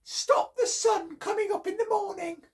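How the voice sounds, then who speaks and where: A squeaky little chipmunk. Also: male voice, fairly even intonation, a little slow. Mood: challenging. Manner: very high, squeaky, piping challenges. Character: tiny cheeky male chipmunk, bold and challenging.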